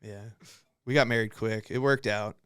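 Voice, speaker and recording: A man's voice: lowish voice, fairly even intonation, normal speed, fairly bright tone, clear, resonant tone. A young man hosting a podcast, telling rambling, profane stories in casual chat and acting out what others said. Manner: profane, rambling storyteller who acts out quotes. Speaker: podcast host.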